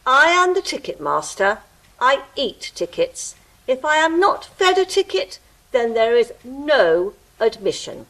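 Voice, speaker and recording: A woman's voice: high-pitched, sing-song, measured pace, fairly bright tone, exaggerated voice, rough recording. A woman playing a fussy, pompous ticket-eating gatekeeper creature in an audio drama, with pedantic, theatrical delivery. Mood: haughty, officious. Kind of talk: stage-style audio drama acting.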